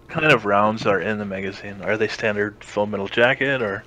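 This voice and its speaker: A man's voice: fairly low pitch, brisk, sharp tone, low-quality recording. A man chatting casually and roleplaying as a player in a tabletop game.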